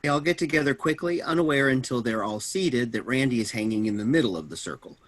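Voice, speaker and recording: Mid-pitched man reading stage directions. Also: animated, fast talker. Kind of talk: scripted narration.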